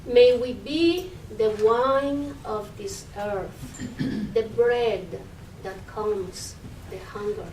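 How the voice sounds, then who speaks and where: A woman poet reciting a prayer-like poem at a live reading, solemnly, with pauses and swells and drops in force. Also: fairly high pitch, darkish tone. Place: public library reading room. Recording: roomy, distant mic, faint noise.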